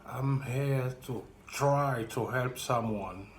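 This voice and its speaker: Slow, earnest man with French accent. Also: mid-range voice, roomy sound, a little hiss or hum. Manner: slow, earnest, halting and repetitive. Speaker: YouTuber. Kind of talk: heartfelt direct-to-camera talk.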